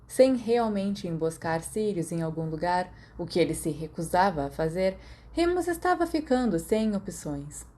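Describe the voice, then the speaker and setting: A woman's voice: on the low side, sing-song, moderate pace, dark tone. A woman with a Brazilian accent reads a story aloud as scripted audiobook narration. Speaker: podfic narrator.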